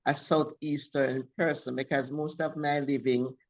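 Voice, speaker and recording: Jamaican lady guest, steady and measured, kinda dull muffled sound. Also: extremely low pitch. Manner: measured, listing details. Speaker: author guest. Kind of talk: interview.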